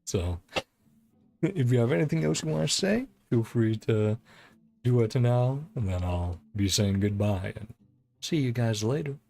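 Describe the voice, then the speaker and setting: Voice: medium pitch, expressive, measured pace, creaky, dark tone. A game livestream host chatting casually in a giggly, hesitant, rambling way. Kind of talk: casual game stream chat. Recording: game music behind the voice. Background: video game music.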